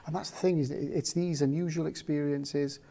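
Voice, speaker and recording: A man from South Wales, a parapsychologist guest talking in a measured, explanatory way with frequent ums. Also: fairly high pitch, steady tone, creaky, dark tone. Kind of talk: casual interview chat.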